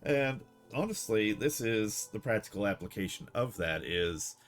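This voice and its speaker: A man streaming a game live, translating Japanese as he goes, relaxed and thinking aloud with many fillers. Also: on the low side, measured pace, soft background music.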